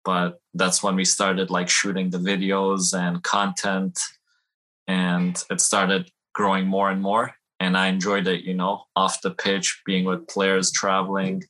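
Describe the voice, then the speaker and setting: A young adult man from Iran, a football trainer and podcast guest, telling his story in a relaxed, rambling way with many "you know"s and "uh"s. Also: low voice, measured pace, rough recording. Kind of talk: casual interview answers.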